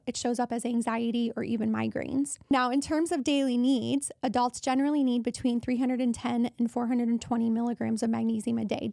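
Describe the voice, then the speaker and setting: A woman hosting a wellness podcast, her voice hoarse and raspy from allergies, talking in a chatty way that wanders off on tangents. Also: fairly high pitch, dark tone, dry recording, clean studio sound. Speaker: podcast host.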